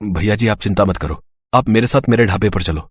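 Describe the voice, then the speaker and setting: A man's voice: on the low side, brisk, husky, no room sound. A man voicing a poor, worried roadside eatery owner in a storybook drama. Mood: humble. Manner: worried, weary. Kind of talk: storybook voice acting.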